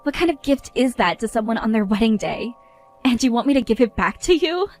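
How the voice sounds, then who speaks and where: A woman's voice: a little high, expressive, fairly quick, a little mellow, faint music behind, natural voice. A woman playing a fed-up, scolding bride. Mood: indignant. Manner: indignant, scolding. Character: exasperated young bride, stern and fed up.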